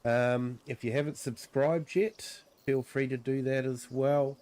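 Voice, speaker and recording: A man with a New Zealand accent and a croaky voice from a cold, giving easygoing livestream commentary on a farming game, full of ums and uhs. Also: medium pitch, fairly even intonation, measured pace, darkish tone. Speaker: streamer. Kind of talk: relaxed livestream gameplay commentary.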